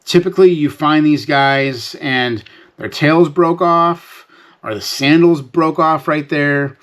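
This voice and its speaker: A man's voice: on the high side, takes it slowly, fairly bright tone. A man hosting a casual, unscripted sales vlog, explaining things to viewers in a conversational, folksy way.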